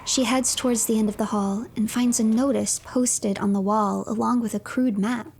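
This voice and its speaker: A woman narrating a game story from a script, breathy and often close to a whisper. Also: on the high side, fairly even intonation, normal speed, a little mellow, a little hiss or hum.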